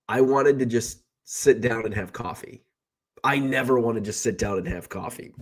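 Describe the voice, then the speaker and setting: A middle-aged man who sells baseball cards, talking casually in a rambling, chatty way while sick with a cold. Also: medium pitch. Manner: rambling, self-correcting, chatty. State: sick with a cold, slightly rough voice. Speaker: card seller and live streamer. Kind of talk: casual vlog chat.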